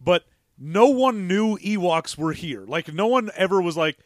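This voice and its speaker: A man's voice: high voice, lively intonation, measured pace, no room sound, clean studio sound. A man co-hosting a podcast, trading sarcastic wisecracks in casual chat about a book. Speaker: podcast co-host.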